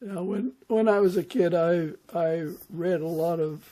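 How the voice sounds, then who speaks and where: A man's voice: sits high, unhurried, mellow. A man hosting a podcast, asking questions and chatting in a conversational interview style.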